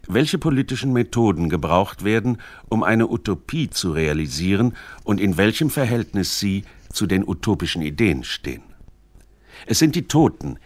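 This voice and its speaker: A man with a fairly low voice narrates a scripted radio documentary. His delivery is formal, measured and articulate, recorded very dry. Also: dry recording. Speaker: narrator of a radio literary feature.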